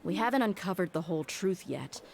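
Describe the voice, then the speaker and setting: An actress playing a tough, blunt young mercenary, a wounded and exasperated daughter, in a video game. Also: female voice, lowish voice, lively intonation, brisk, creaky, a little mellow, slight background noise. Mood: frustrated. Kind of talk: video game character acting.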